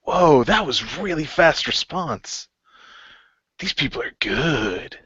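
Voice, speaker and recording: A man's voice: on the high side, expressive, takes it slowly, fairly bright tone, slightly rough, dry recording, highs cut off, voice pushed up, full character voice. A man voicing an easygoing hero with casual, slangy delivery in an amateur radio play.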